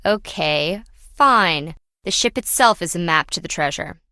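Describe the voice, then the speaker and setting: Bright, brash, sardonic treasure hunter. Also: female voice, on the low side, lively intonation, a little slow, dry recording, studio quality. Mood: grudging. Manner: wry, breezy, slangy teasing. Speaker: video game voice actor. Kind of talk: video game dialogue.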